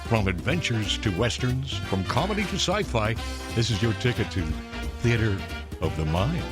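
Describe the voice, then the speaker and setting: Casting: male promo announcer, lowish voice with big melodic swings, set over loud music. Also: lively intonation, moderate pace. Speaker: show announcer.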